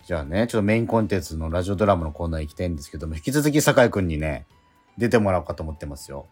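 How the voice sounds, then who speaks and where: A man hosting a radio show, chatting in a relaxed way with lots of quick backchannels. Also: low voice, husky, soft background music. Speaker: radio host. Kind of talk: casual chat.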